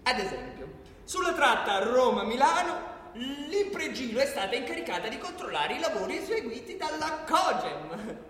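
An actor playing a sardonic narrator in a political radio play, explaining in an emphatic, didactic way with ironic rhetorical questions. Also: male voice, extremely high pitch, very animated, moderate pace, a bit bright, full character voice. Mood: mocking. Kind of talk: theatrical scripted narration, civic storytelling. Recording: echoey long-reverb hall, distant from microphone.

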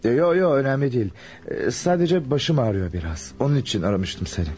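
An actor playing a nervous, scheming opportunist with a jittery, muttering way of talking in a Turkish radio drama. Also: male voice, medium pitch, lively intonation, a little fast, darkish tone, faint music behind, lightly acted. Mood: sheepish, evasive. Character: nervous scheming male opportunist hiding stolen loot. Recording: narrow-band radio recording, faint hiss.

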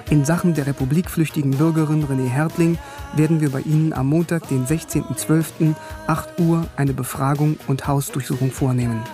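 A man's voice: medium pitch, natural voice. A man acting an East German academic telling his own story in a radio play, with music behind the voice. He is wry and guarded, delivering short, understated phrases with laconic dry wit. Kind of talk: first-person radio drama narration and dialogue.

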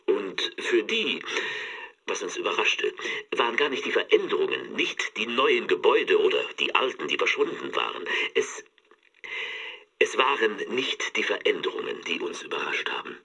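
An actor playing a reflective narrator in an intimate literary monologue, husky and halting, trailing off almost into a whisper. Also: male voice, fairly low pitch, sing-song, bright, forceful tone, full character voice. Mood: pensive. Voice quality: husky, breathy, creaky. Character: reflective male narrator recalling unchanged things with unease.

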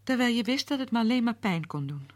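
A woman's voice: on the high side, animated, quick pace, lightly acted. An actress playing a grieving, hurt woman who speaks hesitantly in a radio drama. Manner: hesitant, pained. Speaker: Betty, widow of Arthur.

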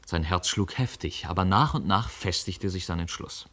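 A man narrating a literary radio play as a scripted storyteller, measured and articulate. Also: deep voice, fairly bright tone.

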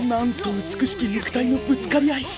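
An actor playing a pompous, effusive gentleman servant with florid exclamations in an audio drama. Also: high voice, animated, a little fast, over loud music. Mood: rapturous. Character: theatrical, effusive butler-like gentleman, florid and excitable.

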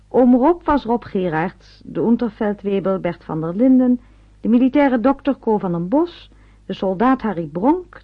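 A woman reading the credits of a radio play as a scripted announcement. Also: mid-range voice. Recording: tinny, phone-like old radio recording.

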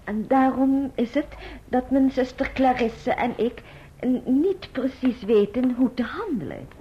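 An actress playing a prim, formal maiden aunt in a radio drama, stiff, precise and ceremonious. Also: female voice, high voice, animated, measured pace, breathy edge, exaggerated voice. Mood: prim, businesslike. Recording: muffled, dull old radio recording.

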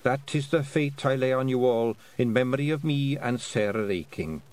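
A man voicing a dying old fairy clan mother with a Scottish accent, speaking slowly and firmly in a raised voice. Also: darkish tone, lightly acted. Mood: commanding, frail. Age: over 60. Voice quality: slow, raised-pitch, firm old-woman voice.

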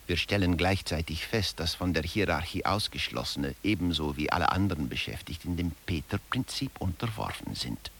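A man's voice: sits low, expressive, moderate pace, hardly any pauses, a bit bright, a little hiss or hum. A man playing a pedantic professor in a satirical radio play, summing up findings with emphatic, scripted delivery. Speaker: lecturer. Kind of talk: scripted satirical lecture narration.

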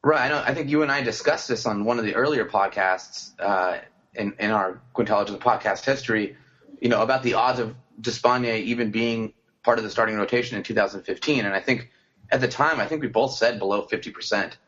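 A man's voice: on the low side, a little fast, fairly bright tone. A man co-hosting a casual sports podcast, talking loosely with many fillers and restarts. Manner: conversational, frequent fillers and restarts. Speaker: podcast co-host. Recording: dull lo-fi podcast audio.

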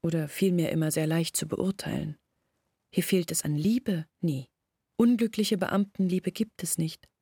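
An actress playing an earnest, bitter village woman in a German radio drama, reasoning insistently and intimately in a husky, creaky voice. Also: lowish voice, measured pace, warm tone, no room sound, studio quality, heavily acted. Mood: earnest, persuasive.

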